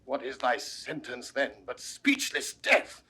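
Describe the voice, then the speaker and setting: An aging English duke with a rough, husky voice of medium pitch and a British accent. He is proud and wounded, delivering Shakespearean verse with measured, sorrowful eloquence in a 1960s audio drama. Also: big pitch swings, pushed and bright, exaggerated voice. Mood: anguished. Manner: measured, rhetorical.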